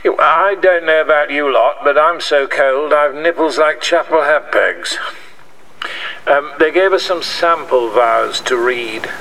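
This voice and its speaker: A man's voice: fairly high pitch, unhurried, bright, forceful tone. A man playing a wry, tender groom speaking hesitantly at his outdoor wedding in an audio drama. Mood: awkward, wry, then halting, solemn. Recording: noisy outdoor scene, distant from mic.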